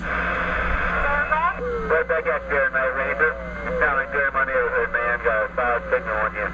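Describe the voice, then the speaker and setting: A man's voice: mid-range voice, big pitch swings. Man on a muffled, static-filled radio. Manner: easygoing, halting, many fillers. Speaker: CB radio caller. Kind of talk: CB radio chat.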